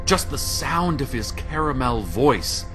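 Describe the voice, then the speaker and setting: An actor playing a small-town community radio host, eerily calm and gossipy, with a deliberate, theatrical announcer's delivery in a scripted broadcast. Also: male voice, mid-range voice. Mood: giddy, infatuated. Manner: deliberate, solemn announcer turning confiding and swoony. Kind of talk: scripted radio news narration, fictional broadcast. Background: music bed.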